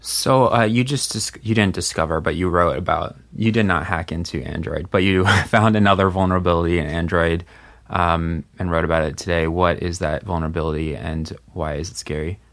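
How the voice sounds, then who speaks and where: Casting: deep-voiced male podcast host, relaxed rambling chat. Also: fairly quick. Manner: loose and rambling, many fillers. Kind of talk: casual chat.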